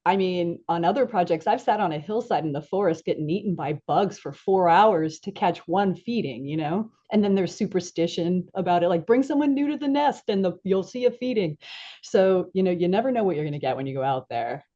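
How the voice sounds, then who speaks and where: A woman's voice: fairly low pitch, dark tone, lo-fi sound. A woman on a video call telling a story in a casual, upbeat way, laughing often and using many fillers. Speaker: photographer and filmmaker guest. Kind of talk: casual online panel chat.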